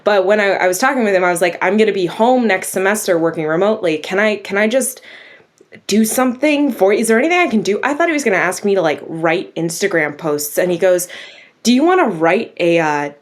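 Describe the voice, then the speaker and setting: A woman's voice: mid-range voice, animated, brisk. A young woman from Virginia, a guest writer in a casual interview, telling her story with lots of acted quotes. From Virginia, USA. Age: young adult.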